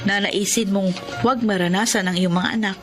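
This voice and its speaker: A woman with a Filipino accent playing a hardworking, loving mother in a radio drama, warm, polite and gently scolding. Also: medium pitch, steady tone, fairly quick, music in the background, natural voice. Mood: earnest, reflective. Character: hardworking, loving mother, poor manicurist and barbecue vendor. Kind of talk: Filipino radio drama acting with first-person narration.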